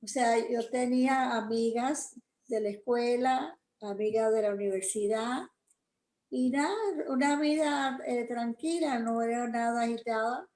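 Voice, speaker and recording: Older Cuban grandmother, chatty and rambling, lightly high voice. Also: a little slow, darkish tone, echoey room, poor audio. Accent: Cuban Spanish. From Cuba. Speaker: grandparent being interviewed. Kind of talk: recounting memories.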